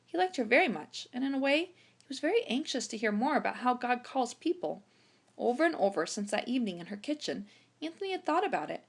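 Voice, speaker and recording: A woman reading a children's chapter book aloud in an expressive storytime narration style. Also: on the high side, fairly quick, gravelly. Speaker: storytime reader.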